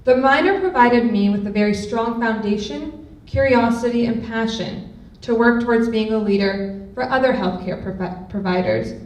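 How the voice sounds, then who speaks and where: A woman's voice: a little high, moderate pace, a little mellow. A young woman from Los Angeles giving an earnest prepared speech as a student speaker, with small slips. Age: young adult. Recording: echoey hall, far from the mic, noisy.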